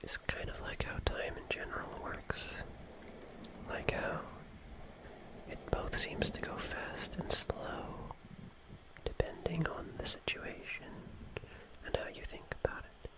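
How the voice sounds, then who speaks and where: Casting: ASMR horror storyteller, breathy whisper, very unhurried, musing delivery with long pauses. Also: rough recording. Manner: slow, musing, drawn-out phrases with long pauses. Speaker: ASMR streamer.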